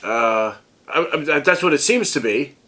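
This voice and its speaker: A sick, congested man rambling through a casual vlog as a tech review host, halting and restarting often. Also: mid-range voice, expressive, sharp tone.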